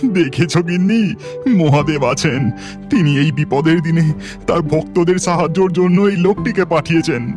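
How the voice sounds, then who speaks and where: A man voicing a devout, excitable village husband in a cartoon, exclaiming fervently. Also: fairly high pitch, moderate pace, over music, close to an everyday voice. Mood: fervent joy.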